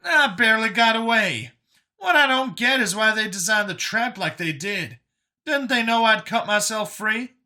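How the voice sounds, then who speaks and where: A man's voice: high voice, lively intonation, normal speed, bright, forceful tone, pitched above the performer's own voice, full character voice. A man voicing a skeptical, sardonic backwoods war veteran doctor in an audiobook story, questioning everything with incredulity. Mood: incredulous.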